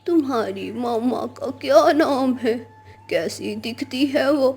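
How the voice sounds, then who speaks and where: A woman plays a motherly dove in a children's cartoon. The voice is slightly high and breathy, speaking slowly in a soothing, protective way. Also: expressive, darkish tone, soft background music, exaggerated voice. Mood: gentle concern. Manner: soothing, reassuring.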